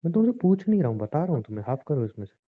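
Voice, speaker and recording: A man's voice: fairly high pitch, sing-song, racing. A man playing a bitter, controlling young husband in a staged call drama, menacing and commanding. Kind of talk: scripted domestic drama. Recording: tinny phone-line recording.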